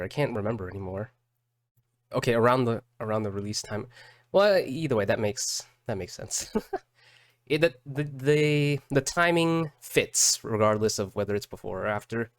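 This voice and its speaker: A man doing casual live reaction commentary as a streamer, halting and repeating words as he thinks aloud. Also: mid-range voice, animated, dry recording. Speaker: streamer reacting to game videos.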